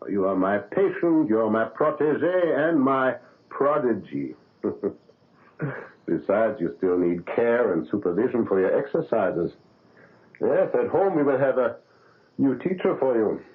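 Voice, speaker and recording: An actor playing a proud, paternal surgeon in a 1970s radio drama, coaxing and insistent. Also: male voice, mid-range voice, sing-song, a little slow. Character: proud, paternal German surgeon, older man. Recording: narrow phone-like old radio broadcast.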